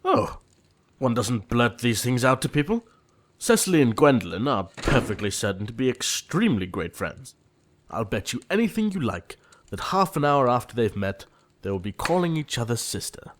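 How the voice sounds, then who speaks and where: A man's voice: medium pitch, sing-song, normal speed, slight background noise, natural voice. Guy acting a proper young gent, earnest and easily exasperated, very swoopy delivery, stage comedy style. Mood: peevish. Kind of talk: theatrical comedy of manners audio play.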